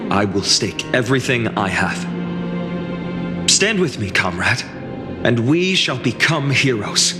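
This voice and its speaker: A man's voice: mid-range voice, very animated, measured pace, long pauses. A man playing an earnest, resolute young soldier hero in a fantasy game, speaking solemnly. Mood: determined. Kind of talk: dramatic fantasy game voice acting. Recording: long reverb, music behind.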